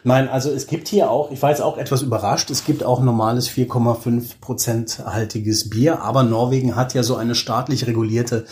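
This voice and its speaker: A man's voice: mid-range voice, steady tone, a little fast, hardly any pauses, darkish tone. A middle-aged man from Hesse, Germany, chatting casually and with easy humour as an interview guest. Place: hotel room.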